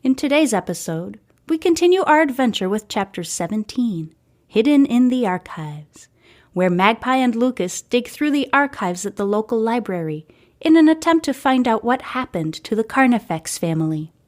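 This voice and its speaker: A woman's voice: on the high side, lively intonation, normal speed, a little mellow. A woman hosting and narrating a serialized story podcast, reading in storybook style. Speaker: host and narrator. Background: music.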